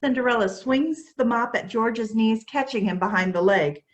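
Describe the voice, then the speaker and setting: A woman's voice: fairly high pitch, normal speed, muffled recording. A woman narrating, reading out the stage directions of a children's play.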